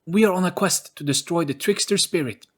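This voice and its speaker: A man's voice: high-pitched, a little fast, breathy edge, voice pushed up. Man playing a bold, mischievous adventuress. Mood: determined. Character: bold, mischievous young female adventurer on a quest.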